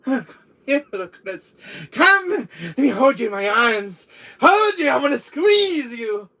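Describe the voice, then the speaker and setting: Man plays flirty female villain for comic roleplay: campy, cooing, widely swooping delivery, bright edge. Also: extremely high pitch, very animated, voice pushed up, full character voice. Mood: clingy, demanding. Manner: campy, drawn-out, cooing endearments.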